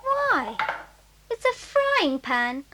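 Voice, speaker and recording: A woman playing a nosy, spiteful English schoolgirl with a sharp, imperious manner in a children's audio drama. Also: extremely high pitch, sing-song, very slow, very noisy, heavily acted. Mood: imperious, suspicious.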